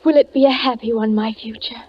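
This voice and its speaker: An actress playing a gentle, trusting young blind peasant woman, earnest and tender in 1940s radio drama style. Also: a little high, fairly even intonation, fairly quick, breathy edge. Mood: hopeful, shy. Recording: muffled, noisy 1940s radio recording.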